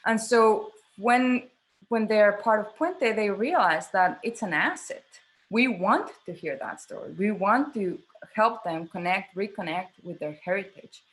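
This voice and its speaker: A woman's voice: medium pitch, animated, a little slow, low-quality recording. Earnest woman. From Mexico. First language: Spanish. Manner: earnest, emphatic, searching for words with repeats. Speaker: guest, theatre artistic director. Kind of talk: conversational panel talk.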